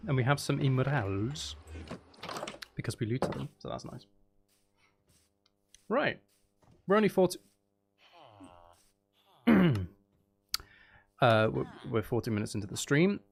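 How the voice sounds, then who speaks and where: A man's voice: mid-range voice, vocal fry. A man chatting casually as he hosts a gaming livestream, with a playful, silly manner and a habit of repeating phrases. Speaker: livestream host playing a video game.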